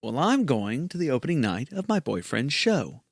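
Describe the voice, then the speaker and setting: A man playing a dry, gently chiding boyfriend in an audio drama. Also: medium pitch, big pitch swings, normal speed, creaky, no room sound. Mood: teasing, patient. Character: patient, teasing boyfriend of a sulking hero.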